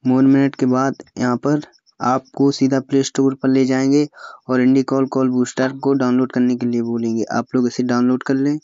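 A young Indian man giving a step-by-step phone app tutorial as a voice-over, halting when he reads English aloud. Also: medium pitch, completely flat delivery, warm tone, clean tone, dry recording. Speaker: tutorial host. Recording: slightly dull, lo-fi, dry close recording.

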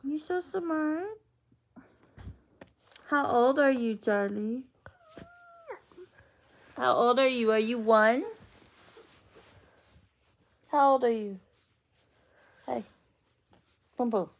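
Slow, gravelly sing-song baby talk from a woman. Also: on the high side.

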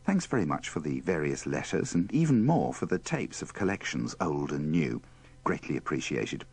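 A man with a gravelly, husky voice presenting a compilation in wry, tongue-in-cheek scripted narration. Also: extremely low pitch, sing-song, normal speed, darkish tone. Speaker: presenter of a radio bloopers compilation.

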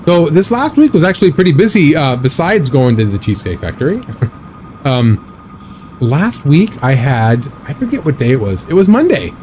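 A man in his thirties chatting casually while live-streaming a driving game, rambling with a slightly raspy, scratchy throat. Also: a little high, very animated, normal speed, darkish tone. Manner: rambling, chatty, reads and answers chat.

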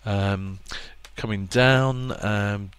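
A man giving a relaxed, plainspoken voice-over walkthrough of a product's setup, with plenty of ums and restarts. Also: lowish voice, drawn-out words, clear, resonant tone. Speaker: product presenter for a marine electronics maker. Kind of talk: casual screen-recorded product walkthrough.

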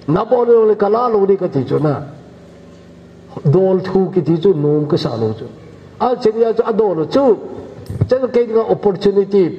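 An older man from northeast India preaching a measured, unhurried sermon as pastor in a church hall. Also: fairly high pitch. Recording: echoey church hall, dull lo-fi sound, faint hiss.

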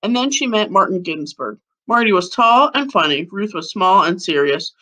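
A woman in her thirties reading a children's picture book aloud like a schoolteacher, with deliberate phrasing. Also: medium pitch, expressive, pushed and bright, rough recording. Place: living room at home, seated on a couch. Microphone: webcam or laptop mic at about arm's length.

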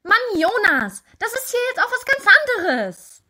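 High-pitched defiant teenage witch. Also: very animated, measured pace, bright, forceful tone, exaggerated voice. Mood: annoyed. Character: sixteen-year-old witch girl, defiant and exasperated. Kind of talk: German audio drama acting.

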